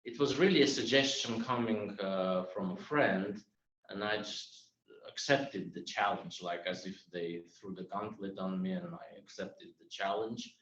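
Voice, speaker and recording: A man's voice: fairly low pitch, unhurried, fairly bright tone. A man in his fifties from Armenia, Armenian his first language, giving an earnest personal speech at a club meeting. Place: room on a video call. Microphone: video call mic. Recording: echoey, dull, from far off.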